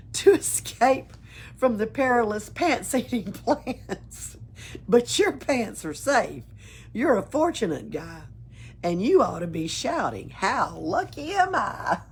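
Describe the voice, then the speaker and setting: A woman reading a children's storybook aloud in an expressive, playful way, adding her own asides. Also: mid-range voice, gravelly, a little hiss or hum. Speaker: story reader at a library. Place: library.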